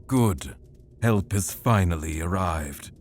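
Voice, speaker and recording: A man's voice: low-pitched, expressive, slow, a little mellow, a little hiss or hum. A man playing a devout, diplomatic feathered reptilian warrior with a formal manner in an audio drama. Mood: commanding.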